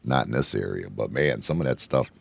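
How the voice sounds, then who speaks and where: A hoarse man hosting a casual bar-talk show, rambling and excitable, often repeating himself. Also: very deep voice, brisk. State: hoarse from yelling. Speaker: podcast host. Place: indoor room, bar setting.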